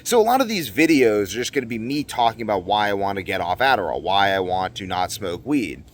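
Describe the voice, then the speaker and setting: A man's voice: fairly low pitch, very animated, a little fast. A man talking casually and unscripted as a video blogger, earnest and rambling, often repeating phrases for emphasis. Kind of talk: casual unscripted monologue to viewers.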